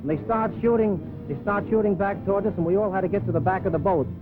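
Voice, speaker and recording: A man gives an eyewitness account in a quick, high voice, plain and unpolished. The sound is a narrow, tinny 1930s newsreel track with music audible. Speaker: ship crew member, eyewitness.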